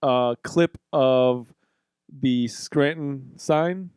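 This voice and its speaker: A man's voice: mid-range voice, drawn-out words, a little mellow, smooth, no echo, clean studio sound. A man co-hosting a podcast in casual, rambling banter, playful and swearing casually. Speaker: podcast co-host.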